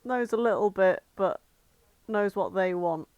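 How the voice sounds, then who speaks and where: A woman co-hosts a casual podcast at an average pitch. She is opinionated and teasing, bantering informally. Her voice comes through dry and close. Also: unhurried, darkish tone, no echo, dull audio. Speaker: podcast co-host. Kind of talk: casual chat.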